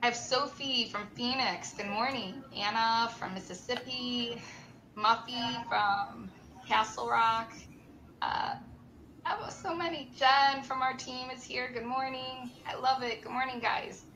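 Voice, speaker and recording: A woman's voice: on the high side, measured pace, sharp tone, slight background noise, poor audio. A woman hosting a live-stream chat show while sick, her voice strained, chatty and upbeat with many ums as she greets viewers by name. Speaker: show host, marketing director. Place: company studio with a control room.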